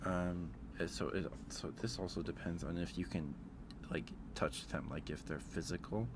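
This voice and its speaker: Low-voiced man chatting casually over background noise. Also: steady tone, moderate pace. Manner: hesitant, thinking aloud. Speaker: streamer. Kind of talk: casual ASMR livestream chat.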